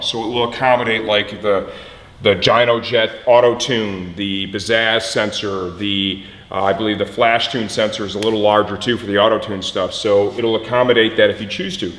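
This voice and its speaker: A plain-spoken, folksy man hosting a casual step-by-step motorcycle install tutorial, explaining things as he goes. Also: on the low side. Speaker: host of motorcycle parts install videos. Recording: echoey, reverberant room.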